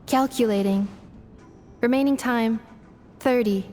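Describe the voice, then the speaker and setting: A woman's voice: fairly high pitch, a little slow, big-room sound, very noisy, close to an everyday voice. A woman voicing a polite, literal-minded robot in a video game, making clipped, matter-of-fact announcements. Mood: calm, methodical.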